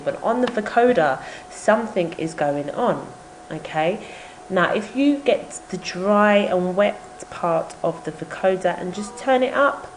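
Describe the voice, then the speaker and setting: A woman presenting an informal, step-by-step software tutorial as a voice-over. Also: mid-range voice. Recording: roomy echo with background noise.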